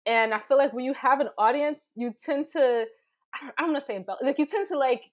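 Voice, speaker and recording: A woman explaining ideas informally on a panel, chatty and full of restarts and "like". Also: high voice. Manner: animated, halting restarts, many "like"s. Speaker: panelist, storyteller.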